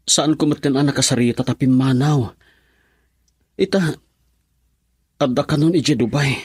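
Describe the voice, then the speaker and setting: A man's voice: mid-range voice, a little slow, slightly rough, no echo, clean studio sound. A man with an Ilocano accent playing a heartbroken young husband in a radio drama. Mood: pleading.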